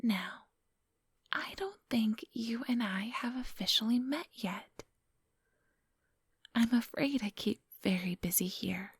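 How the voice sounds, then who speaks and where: A woman playing a centuries-old vampire boss in an ASMR roleplay, whispery and breathy, speaking close to the microphone in a silky, patronizing, quietly menacing manner. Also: on the high side, dry recording, full character voice. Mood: polite, businesslike. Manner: slow, unhurried, silky and patronizing.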